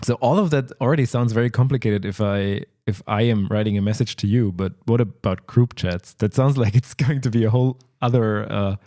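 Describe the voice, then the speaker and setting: A man's voice: lowish voice, a little fast, few pauses, dark tone, dry recording, clean studio sound. A man hosting a conversational podcast interview, inquisitive and recapping things in plain words. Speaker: podcast host.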